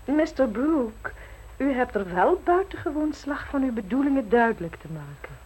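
An actress playing an ambitious, worldly widow in a radio play, coolly flirtatious, arch and knowing. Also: female voice, high-pitched, big pitch swings, moderate pace, darkish tone, breathy edge, exaggerated voice, low-quality recording.

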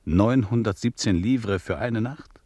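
An actor playing a worldly police lieutenant in a German radio play, commanding and ironic in manner. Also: male voice, on the low side, unhurried, darkish tone, slightly rough, no room sound. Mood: wry, knowing. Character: worldly police lieutenant, authoritative and wryly knowing.

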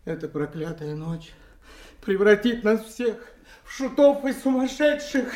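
A woman playing an anxious, childlike young male court fool, with shrill, pleading singsong in a theatrical radio drama. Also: big pitch swings, measured pace, mellow, reverberant. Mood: wry dismay.

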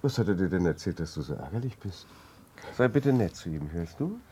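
Smooth broke young husband in a radio play, mellow and cajoling, echoey room. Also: male voice, low voice, very animated, a little fast. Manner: breezy, cajoling, evasive. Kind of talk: German radio drama acting. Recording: echoey room, distant mic, faint noise.

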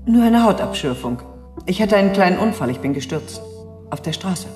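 A woman's voice: on the low side, lively intonation, a little fast, natural voice. A woman playing an elegant client calling a detective by videophone in a radio play. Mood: uncertain. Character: elegant, forgetful female client, a war reporter, on videophone. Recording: long reverb, music behind.

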